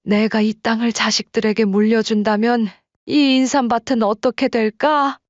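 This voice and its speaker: A woman's voice: fairly high pitch, little pitch movement, normal speed, no room sound, dull audio, pitched above the performer's own voice, close to an everyday voice. A woman voicing a proud, blunt elderly woman who farms ginseng, speaking firmly and plainly. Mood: wistful. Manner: firm, plain-spoken.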